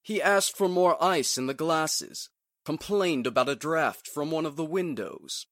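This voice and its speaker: A man's voice: fairly high pitch, unhurried, a bit bright, no echo, studio quality. A man playing a catty, gossipy witness in an old-time radio drama. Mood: catty, telling.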